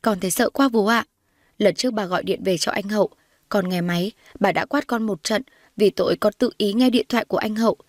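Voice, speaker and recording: A woman's voice: medium pitch, a little fast, no room sound, lightly acted. A timid teenage girl acted by a woman narrator in an audio drama. She speaks meekly and deferentially, earnest and fearful, in a dry recording. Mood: nervous. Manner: meek, pleading, deferential. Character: timid, earnest fifteen-year-old orphan girl, polite and fearful.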